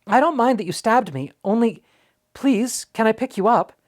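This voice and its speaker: A storybook reader voicing an eager, polite young boy who pleads and talks fast when excited. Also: medium pitch, pitched above the performer's own voice. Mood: pleading. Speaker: storybook character.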